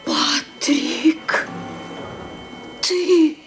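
An actress playing a brave, resolute elderly aunt in an audio drama, speaking haltingly with small pauses. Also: female voice, very high-pitched, wildly swooping pitch, soft background music, exaggerated voice. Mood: fearful awe. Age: older.

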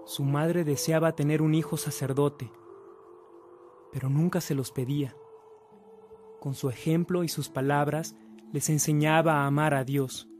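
A man with a Mexican accent narrating a saint's life in a scripted radio drama, in a measured storytelling manner. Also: on the high side, fairly even intonation, a little mellow, soft background music, natural voice.

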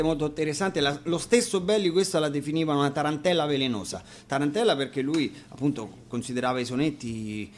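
A man's voice: mid-range voice, slight background noise. A man casually introducing songs at an acoustic radio concert, explaining them in an informal, wryly ironic way. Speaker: singer and band frontman introducing songs. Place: radio station, live acoustic performance.

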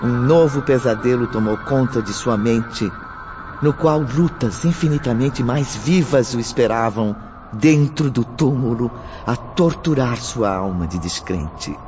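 A man narrating a radio drama in a slow, solemn and dramatic manner. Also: mid-range voice, lively intonation, noisy background. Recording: dull audio with music underneath.

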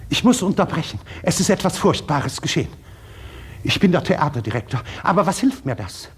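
A panicky, scolding serf theatre director in a 1950s German radio drama. His voice swings widely up and down, agitated and excitable. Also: a little high, fairly quick. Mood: panicked.

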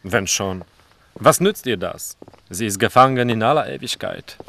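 An actor playing a wry, teasing army captain in a radio play. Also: male voice, on the low side, animated, moderate pace, gravelly, pushed and bright, heavily acted. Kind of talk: radio drama acting.

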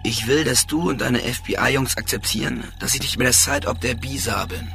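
A man's voice: mid-range voice, normal speed, gravelly, lightly acted. An actor playing a defiant male hacker bargaining with an agent in a radio drama. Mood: irritated. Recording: music under the voice.

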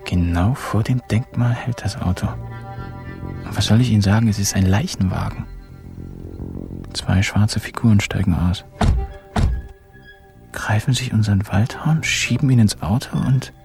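An irreverent narrator in a radio crime comedy. He tells it in plain colloquial present tense, dry and wry, at a middling-low pitch over faint music. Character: wry, irreverent younger man recounting a crime caper.

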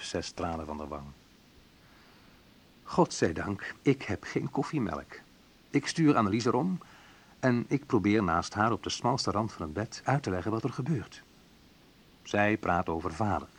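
A man's voice: lowish voice, breathy edge. An actor playing a wry, sensitive young man who recalls his student love life in an intimate first-person radio play monologue. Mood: pained, subdued.

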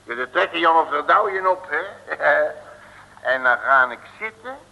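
A high-pitched, piercingly bright male detective, cocksure and bumbling, blustering in street slang. It is old radio serial acting, on a dull, hissy recording with long reverb. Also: expressive, unhurried, exaggerated voice. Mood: cocky. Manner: colloquial, blustery, exclamatory.

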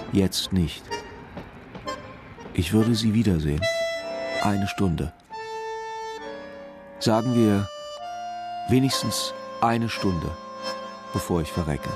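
An actor playing a brooding, lovesick police detective who narrates a radio crime drama. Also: male voice, lowish voice. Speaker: lead actor and first-person narrator.

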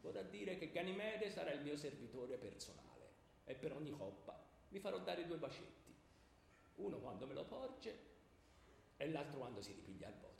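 A smug, lecherous god king in a radio comedy, with a strikingly high male voice. He wheedles and teases, ringing through a very echoey hall. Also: very animated, normal speed, vocal fry. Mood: teasing. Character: lecherous, unrepentant male king of the gods, teasing husband. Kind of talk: theatrical radio comedy dialogue. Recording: very echoey hall with faint noise.

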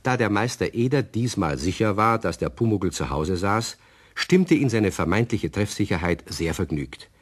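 A man narrating a children's radio play as an expressive, husky-voiced storyteller. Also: on the low side.

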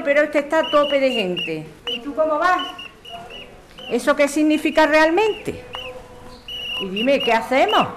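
A woman playing a practical, brisk activist organizer with a Spanish accent in an audio drama. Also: high voice. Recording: clearly noisy ambience with clicks.

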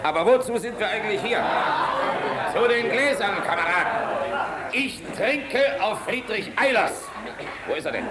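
An actor playing a blunt, jovial middle-aged air force general in a radio drama, with a commanding, irreverent manner. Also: male voice, high voice, animated, moderate pace, long pauses, a loud crowd, heavy background noise. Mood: hearty toasting. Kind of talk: German radio drama acting.

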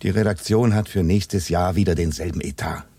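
A husky, low male voice, as a cautious radio editor. He sounds wary and grumbling, reluctant in a plain, naturalistic radio-play manner. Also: brisk, warm tone, no echo. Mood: anxious, reluctant. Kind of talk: naturalistic German radio drama acting.

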